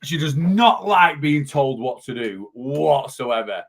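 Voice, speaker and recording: A man, a weight loss coach chatting casually in a vlog, a little hoarse from losing his voice and rambling as he goes. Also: mid-range voice, takes it slowly, a bit bright. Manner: chatty, rambling, self-interrupting.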